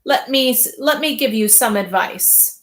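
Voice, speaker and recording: A woman reading aloud and voicing an unhinged, arrogant male king who rants in a shrill voice and snarls out insults. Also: unhurried, reverberant, close to an everyday voice. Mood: ranting. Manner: ranting, snarling, spitting out insults.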